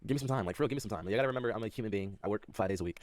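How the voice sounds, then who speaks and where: Young man, quick and self-doubting. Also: fairly low pitch, dry recording. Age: 20s. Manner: soft-spoken, self-doubting, laughs nervously. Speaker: content creator in a clip being reacted to. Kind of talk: candid personal talk to his audience.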